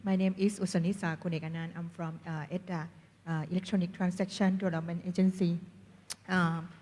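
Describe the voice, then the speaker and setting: Mellow woman in a reverberant hall. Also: on the low side, little pitch movement, brisk. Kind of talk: formal self-introduction. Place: large reverberant meeting room.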